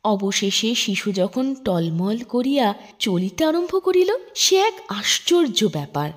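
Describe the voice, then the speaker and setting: Female storyteller, animated intonation, unhurried pace, formal literary narration. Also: medium pitch. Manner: measured, expressive, formal literary delivery. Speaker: storyteller reading a literary short story aloud. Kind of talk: scripted narration, expressive audiobook reading.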